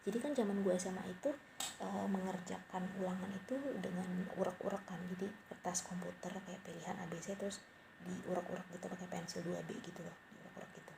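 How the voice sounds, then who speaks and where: A woman's voice: fairly low pitch, flat delivery, fast talker, creaky. A young woman rambling casually and mocking herself, sometimes dropping to a whispery aside. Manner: rambling, self-mocking, slangy. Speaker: podcaster. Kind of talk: casual late-night monologue. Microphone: cheap home mic. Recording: noisy home mic recording.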